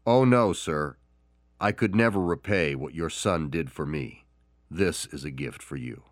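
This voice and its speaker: A man's voice: a bass voice, unhurried, dry recording, clean studio sound, voice pushed down. A man voicing a humble, grateful young male soldier. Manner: humble, earnest.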